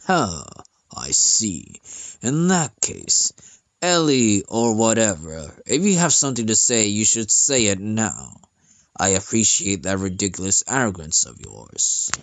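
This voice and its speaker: A man's voice: fairly low pitch, sing-song, measured pace, dry recording, voice pushed down. A man voicing an arrogant, pompous pharaoh king in a fantasy game story. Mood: scornful, amused. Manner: pompous, imperious. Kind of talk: acted fantasy game cutscene reading. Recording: music behind the voice.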